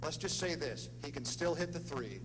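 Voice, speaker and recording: A man's voice: on the high side, lively intonation, moderate pace. A middle-aged man giving an earnest, emphatic ceremony speech in short punchy phrases as a presenter. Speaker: presenter at an athletics hall of fame ceremony. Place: indoor ceremony hall with long reverb. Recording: echoey hall, noisy hum.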